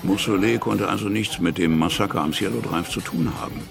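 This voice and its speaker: An actor playing a hard-boiled male police detective in a radio drama, with a gravelly voice. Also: low-pitched, a little fast, music in the background. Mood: grim, matter-of-fact.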